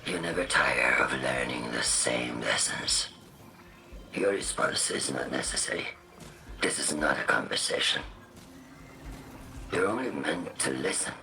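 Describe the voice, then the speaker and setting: An actor playing an imperious, menacing game villain, commanding and disdainful, drawing out the words. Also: male voice, extremely low pitch, noisy background. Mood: cold menace. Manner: commanding, disdainful, drawn-out delivery. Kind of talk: scripted game villain monologue.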